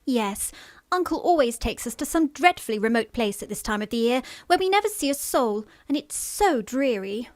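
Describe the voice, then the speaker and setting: A coy young lady played by a woman in a Victorian radio farce. Her voice is high and lilting with lots of movement, demure, in a dry recording. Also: sing-song, normal speed, no room sound. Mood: coy, plaintive. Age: young adult. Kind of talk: radio play farce acting.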